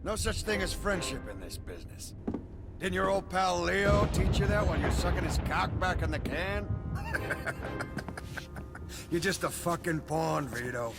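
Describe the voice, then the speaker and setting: A sneering, contemptuous mob boss, his somewhat high voice swinging widely as he taunts. Loud music plays under the game cutscene. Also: sing-song, unhurried, pauses often, full character voice. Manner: sneering, taunting, builds to shouting. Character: menacing mob boss, middle-aged man, contemptuous. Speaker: game character.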